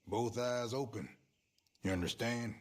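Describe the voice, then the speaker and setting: An actor playing a shady, folksy frontier fixer in a video game, with an American accent and a knowing drawl. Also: male voice, medium pitch, unhurried, lightly acted. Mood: wary, conspiratorial. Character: shady male frontier fixer, sly, folksy outlaw schemer. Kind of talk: video game cutscene acting.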